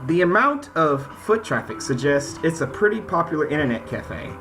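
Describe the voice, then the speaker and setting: A man's voice: fairly high pitch, very animated, normal speed. A man doing casual let's-play commentary, reading game text aloud and reacting informally as he goes. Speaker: gaming video host. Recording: music under the voice. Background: music.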